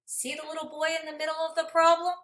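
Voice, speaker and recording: A woman reading a picture book aloud to young children like a teacher, expressively and dramatically, pausing to speak to her listeners. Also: sits high, monotone, fairly bright tone. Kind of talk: interactive children's read-aloud with questions to listeners. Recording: echoey room, distant microphone.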